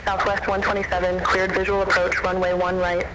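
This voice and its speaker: A woman's voice: lowish voice, a little fast. A woman's synthetic voice giving air traffic control instructions in clipped radio phraseology, heard over a radio transmission. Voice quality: flat, even synthetic delivery. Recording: loud static radio channel with clicks.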